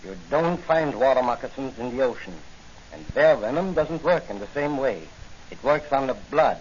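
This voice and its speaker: An actor playing a science professor in 1940s radio style, formal and precise, with an authoritative, emphatic delivery. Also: male voice, medium pitch, animated, moderate pace, natural voice. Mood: grave, explanatory. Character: excitable male marine-science professor, grave and authoritative. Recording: dull, noisy 1940s radio recording.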